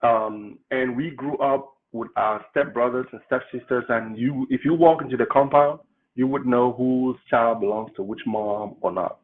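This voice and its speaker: A man's voice: mid-range voice, steady tone, moderate pace. Man from Cameroon on a tinny line. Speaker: political candidate. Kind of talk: interview storytelling.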